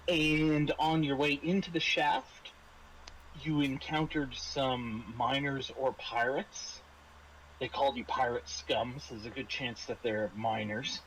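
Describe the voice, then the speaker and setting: A man running a tabletop game as game master, narrating casually and haltingly with dry, understated humour. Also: medium pitch, steady tone, a bit bright, slight background noise, rough recording.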